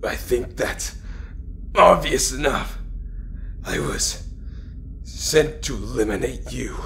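A man's voice: medium pitch, expressive, slow, vocal fry, fairly bright tone, a little hiss or hum, full character voice. A man playing a stubborn, bitter captive warrior knight in an audio drama, answering in short, defiant lines. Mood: weary, sardonic. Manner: defiant, terse. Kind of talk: fan audio drama acting. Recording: music behind the voice.

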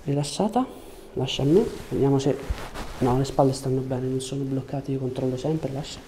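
A man in his thirties speaking as a chiropractor, giving short, gentle, reassuring instructions to a patient in a calm clinical way. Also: medium pitch, a little fast, poor audio. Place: indoor chiropractic office. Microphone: lapel mic. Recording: faint background room noise.

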